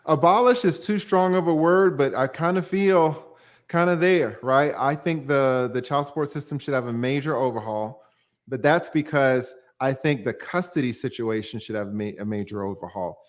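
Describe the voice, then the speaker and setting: A man's voice: medium pitch, moderate pace. A man, a divorce attorney, explaining the law in a plain-spoken way on a call-in livestream and using everyday examples.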